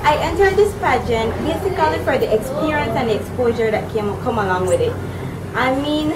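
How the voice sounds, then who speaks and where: A woman's voice: high voice, background noise. A woman in her twenties, a pageant contestant, giving a poised, rehearsed statement. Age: 20s. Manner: poised, rehearsed self-introduction. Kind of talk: interview statement. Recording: loud music underneath.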